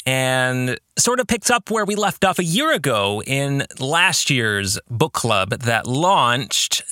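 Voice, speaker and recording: A man's voice: on the high side, pushed and bright, no room sound, studio quality. A man hosting a podcast, speaking directly to his listeners in an earnest, emphatic way. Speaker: podcast host. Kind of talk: podcast monologue addressed to listeners.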